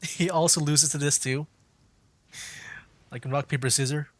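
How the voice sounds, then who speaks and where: A man's voice: a little high, fairly even intonation, normal speed. A man streaming a video game, giving casual, amused commentary as he plays.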